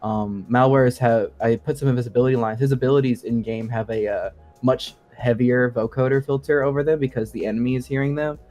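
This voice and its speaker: A man's voice: mid-range voice, fairly even intonation, fairly quick, few pauses, a little mellow, soft background music. A man chatting casually as a guest sound designer on a gaming show, very informal and peppering talk with "like". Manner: informal, frequent "like" and quoted asides. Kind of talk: casual interview chat.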